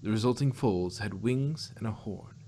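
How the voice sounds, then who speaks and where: A solemn, ancient pony queen acted by a man in a low register. She tells her tale in a measured, confiding way, as in a storybook fan-fiction reading. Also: dark tone, slight background noise, lightly acted. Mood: confessional.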